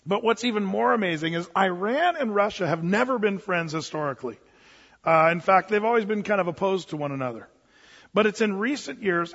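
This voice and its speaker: A man with a high voice preaching in an earnest, rambling way, stumbling over his words and restarting. His voice rings with a long hall reverb, and the recording sounds dull and lo-fi. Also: a bit bright. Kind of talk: informal preaching talk.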